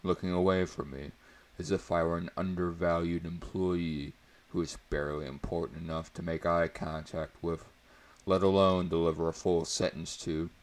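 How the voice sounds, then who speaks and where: A man giving a theatrical dramatic reading of first-person prose, with a deliberate, emphatic, mock-serious delivery. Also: sits low, level tone. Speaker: reader performing a book chapter.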